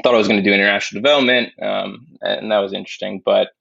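A man's voice: fairly low pitch, fairly even intonation, rapid-fire, vocal fry, a bit bright, breathy edge, no room sound. A young man born in Spain and raised in New York, a podcast guest talking casually and thoughtfully, with many restarts and fillers, on a dull, lo-fi recording. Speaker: podcast guest, climate investor. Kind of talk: casual interview talk. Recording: dull dry lo-fi sound.

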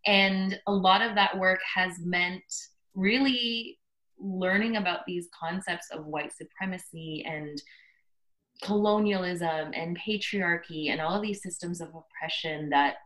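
A woman's voice: on the low side, measured pace, fairly bright tone, rough recording. A woman with a Canadian accent, answering as a podcast guest, reflecting and thinking aloud about personal experience. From Canada, Toronto area. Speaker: podcast guest, social impact worker.

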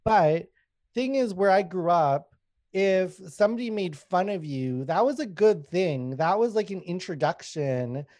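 A fairly high-voiced man in his thirties from Canada, telling stories openly with easy laughs and plenty of swearing. Very dry, close sound with no room around him. He talks loosely, packing his lines with fillers like a guest in a relaxed podcast chat. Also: a little slow, dry recording. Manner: candid, laughing, swears freely, many fillers. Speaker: guest comedian.

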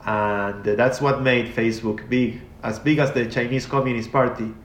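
A man's voice: medium pitch, steady tone, moderate pace, slight background noise. Male guest, reflective and meandering, mid-range voice, recorded in a reverberant room. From Argentina. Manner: reflective, rambling with frequent restarts and fillers. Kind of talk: casual interview talk. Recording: echoey room.

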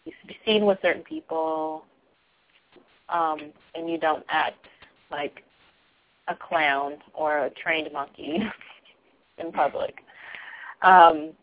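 A soft-spoken woman talking reflectively in a casual group discussion, laughing now and then. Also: deep voice, slow pace, pauses often. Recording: tinny phone-like line.

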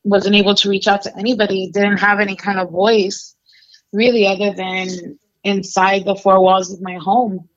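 A woman's voice: medium pitch, little pitch movement, moderate pace, muffled recording. A young adult woman from Mexico, a guest telling her life story in a casual, candid interview full of fillers. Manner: candid, conversational, many fillers.

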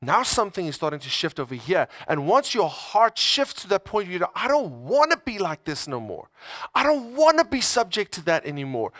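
A man's voice: on the high side, fairly bright tone, no echo, studio quality. A man preaching a conversational sermon, emphatic, repeating phrases and voicing people's inner thoughts in everyday words.

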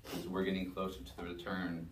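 A man leading a church service, reading scripture with measured emphasis and preaching. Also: sits low, monotone, background noise. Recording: roomy sound from a distance.

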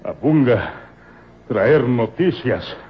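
Halting, very slow-spoken servile slave. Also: male voice, medium pitch, lively intonation, background noise. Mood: urgent, submissive. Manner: broken speech in bare infinitives, halting. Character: servile Ethiopian slave man, humble and devoted. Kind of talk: old-time radio serial acting. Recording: muffled old radio drama recording.

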